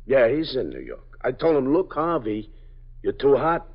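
A man's voice: medium pitch, wildly swooping pitch, moderate pace. An actor playing a nervous male parolee informant who stammers and pleads, in 1950s radio drama style. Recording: dull old radio broadcast.

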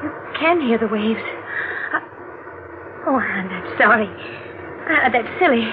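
Earnest, anxious young wife, 1940s radio drama, highish voice, hesitant phrasing. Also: female voice, lively intonation, slow pace, heavy background noise. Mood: uneasy. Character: anxious, devoted young bride with nagging doubts. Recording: tinny old radio, music behind.

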